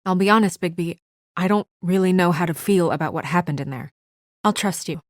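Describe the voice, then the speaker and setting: A woman's voice: fairly low pitch, fairly quick, no echo, clean studio sound, lightly acted. A woman playing an earnest, businesslike young woman with office authority and a dryly exasperated edge, in naturalistic video game acting. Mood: uneasy, guarded.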